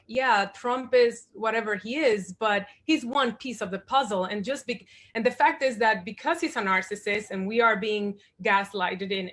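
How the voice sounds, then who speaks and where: A woman's voice: mid-range voice, fairly bright tone. A woman in her forties from the Dominican Republic, a guest at a panel discussion, speaking thoughtfully with many fillers and restarts.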